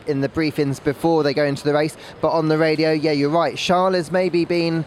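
Male racing pundit, slightly high register, conversational analysis, light traffic-like noise behind. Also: flat delivery, fairly quick. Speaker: motor racing pundit and reporter. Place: outdoors in a race paddock. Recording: faint outdoor background noise.

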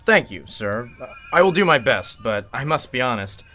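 Man playing a bright-voiced, affable attorney. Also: medium pitch, very animated, moderate pace, faint music behind. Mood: affable, charming. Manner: courteous, chatty. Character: smooth, affable district attorney, polite and curious. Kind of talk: golden-age radio drama acting.

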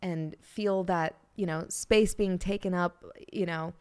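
A young woman from Pittsburgh talks as a guest on a casual podcast. Her voice is smooth and clear. She chats in a bubbly way and fills her sentences with "like" and "you know". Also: fairly low pitch, steady tone, measured pace. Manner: bubbly, lots of fillers. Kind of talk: casual chat.

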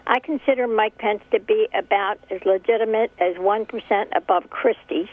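A woman's voice: medium pitch, normal speed, no echo. Older woman caller in her seventies, blunt and opinionated, heard over a muffled phone line, dry sound. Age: 70s. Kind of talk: call-in talk radio. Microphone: telephone.

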